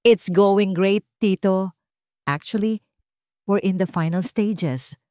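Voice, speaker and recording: A woman's voice: mid-range voice, slow pace, darkish tone, no room sound, clean studio sound, natural voice. A woman voicing a boastful, self-important young businessman in an audio drama. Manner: boastful, switches between languages.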